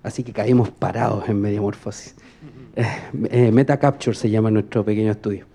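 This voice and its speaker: A man's voice: on the low side, steady tone, brisk, creaky, dark tone, slightly rough. A man asking an informal audience question at a conference, rambling in short, hesitant phrases.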